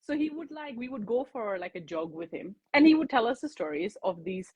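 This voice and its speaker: A woman's voice: fairly high pitch, big pitch swings, brisk, a little mellow, slightly rough, dull audio. A woman from Singapore talking in a casual interview, chatty, with plenty of fillers and restarts.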